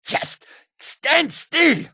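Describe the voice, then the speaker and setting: A man voicing a wary, combative male cat-folk character from a fantasy video game, with a gravelly, raspy voice and exotic, formal phrasing. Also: extremely high pitch, animated, extremely slow, sharp tone, dry recording, clean studio sound, exaggerated voice. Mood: combative, taunting.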